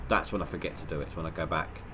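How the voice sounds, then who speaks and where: Low-voiced man with a stuffy nose. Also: fairly even intonation, rapid-fire, noisy background. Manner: rambling, stop-start, self-mocking. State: nasal from a head cold. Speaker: gaming livestreamer. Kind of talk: casual gameplay commentary.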